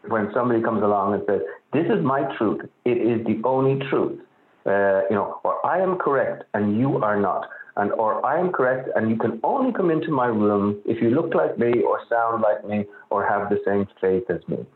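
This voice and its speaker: A man's voice: lowish voice, animated, normal speed. An Irish man, an author, answering interview questions earnestly and often repeating words as he searches for phrasing. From Ireland. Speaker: author guest. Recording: echoey tinny phone-like line.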